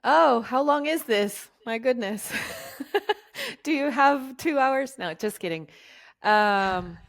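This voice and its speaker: A woman's voice: sits high, animated, slow. A woman in her forties from Argentina, a chatty workshop facilitator telling her life story in an interview. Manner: chatty, jokey and laughing easily. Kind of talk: conversational interview.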